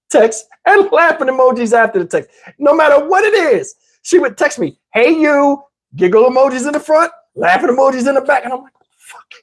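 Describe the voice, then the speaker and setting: A man telling a personal story in a casual, comedic, slangy way, animatedly acting out the dialogue. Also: extremely high pitch. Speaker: storyteller, channel host.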